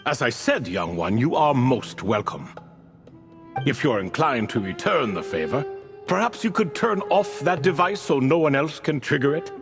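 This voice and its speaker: An actor voicing an ancient, courtly and whimsical ibis king spirit in a video game, speaking in grandiloquent, drawn-out phrases. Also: medium pitch, sing-song. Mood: genial, philosophical. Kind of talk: video game character dialogue. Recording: long hall reverb with music. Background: music.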